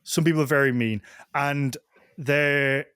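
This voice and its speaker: A man's voice: a little high, steady tone, a little slow, pushed and bright, smooth, no echo, clean studio sound. A man co-hosting a podcast, chatting casually in a rambling way full of filler words and acted-out quotes. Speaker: podcast co-host. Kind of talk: casual chat.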